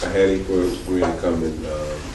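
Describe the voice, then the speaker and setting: A man hosting his book launch, introducing a guest reader in a loose, laughing, halting way. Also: deep voice, fairly even intonation, background noise. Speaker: author and event host. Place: event room with crowd. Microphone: distant mic. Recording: echoey room with crowd from far off.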